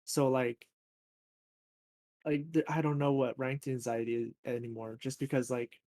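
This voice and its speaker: A man hosting a podcast, chatting casually in a relaxed way with plenty of fillers. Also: medium pitch, normal speed, dry recording, clean studio sound. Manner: relaxed, filler-heavy, laid-back gamer talk. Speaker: podcast host. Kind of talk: casual chat.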